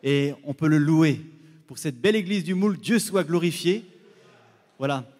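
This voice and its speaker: Higher-voiced man preaching in a reverberant hall. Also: lively intonation, normal speed, a little mellow. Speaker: visiting preacher. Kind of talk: church address to a congregation. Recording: reverberant hall, long echo.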